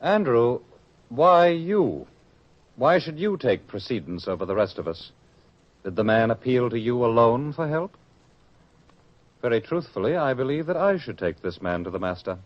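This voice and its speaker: Actor as a proud disciple, widely swinging pitch. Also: male voice, sing-song, moderate pace, lightly acted. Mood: proud, arguing. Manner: questioning, self-assured, oratorical. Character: proud, argumentative disciple of a religious master. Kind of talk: old-fashioned radio drama acting. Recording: dull lo-fi radio drama recording.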